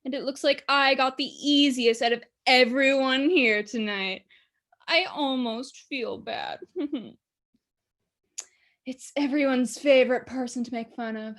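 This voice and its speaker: A gleeful, scheming she-devil played by a woman speaks straight to the audience in a theatrical monologue. The voice is on the high side, with a sardonic, conversational delivery that gloats over human misery. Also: a little slow, fairly bright tone. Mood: mocking, smug. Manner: sardonic, gloating, conversational, given to chuckling asides.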